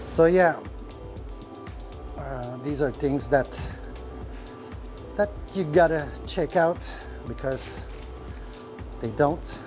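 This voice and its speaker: Man talking shop, halting. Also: a little high, music in the background. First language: French. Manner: rambling, many fillers, searches for words. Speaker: restaurant owner. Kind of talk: casual podcast talk. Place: restaurant kitchen.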